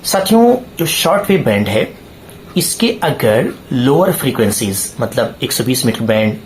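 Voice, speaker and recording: A man presenting a tutorial point by point, in deliberate, halting phrases with stressed key words. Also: mid-range voice, big pitch swings, slight background noise. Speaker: presenter of radio hobby tutorials.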